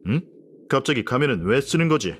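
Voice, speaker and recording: A man's voice: mid-range voice, sing-song, brisk, slight background noise, close to an everyday voice. A male actor playing a haughty, condescending nobleman in a video game dub, speaking in a pompous, formal aristocratic way. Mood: proud, composed. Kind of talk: dubbed video game dialogue.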